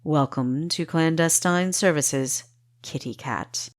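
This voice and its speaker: A woman voicing an amused, evasive male spy leader with a deep, gritty voice for an audiobook. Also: takes it slowly.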